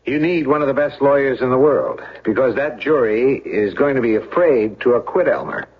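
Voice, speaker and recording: An actor playing a practical, wealthy and loyal friend in a 1970s American radio drama, speaking emphatically and persuasively with an American accent. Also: male voice, mid-range voice, moderate pace, husky, lightly acted. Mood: urgent, persuasive. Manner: emphatic, persuasive, repeating himself. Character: practical, wealthy, insistent loyal male friend of accused man. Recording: dull old radio broadcast.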